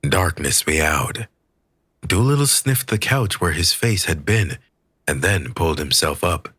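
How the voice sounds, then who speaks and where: Deep, husky man narrating fiction. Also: animated, measured pace, a bit bright, no echo, studio quality. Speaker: audiobook narrator.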